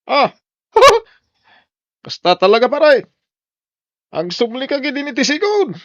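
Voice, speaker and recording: A man's voice: very high-pitched, big pitch swings, takes it slowly, fairly bright tone, no room sound, muffled recording, full character voice. A man with an Ilocano accent playing a hard-drinking husband in an audio drama, boisterous with friends, belligerent or sheepish at home. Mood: tipsy, rowdy.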